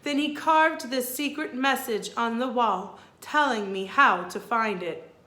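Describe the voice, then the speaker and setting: A woman's voice: fairly high pitch, measured pace, sharp tone, roomy sound, voice pushed up. A woman voicing a sad, regal ancient ghost queen from a children's storybook, speaking in a stately, formal, dramatic way. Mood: grave, ominous.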